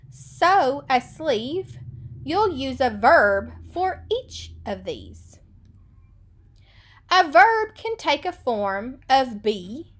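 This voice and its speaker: A woman in her thirties with a high voice, teaching young children through a read-aloud. She takes her time, speaking slowly and stressing key words in a sing-song way. She is recorded on a webcam in a small room. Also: a bit bright. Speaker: elementary school teacher. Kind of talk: read-aloud picture book lesson for children.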